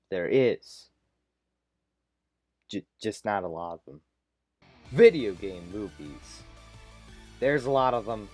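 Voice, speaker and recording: A man's voice: medium pitch, darkish tone. A man hosting a scripted comedic review countdown, sarcastic and self-deprecating in his delivery. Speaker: host of a video review countdown. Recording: amateur recording with light background music.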